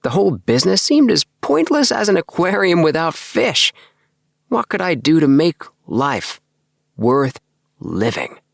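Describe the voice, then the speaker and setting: A man voicing an excitable, earnest young dreamer in an audiobook, with an emphatic, self-satisfied manner. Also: on the high side, unhurried, vocal fry, no echo, clean studio sound, voice pushed up, heavily acted. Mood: exasperated, bored. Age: young adult. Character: excitable young dreamer, eager, earnest, obsessed with house plans. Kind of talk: acted audiobook dialogue.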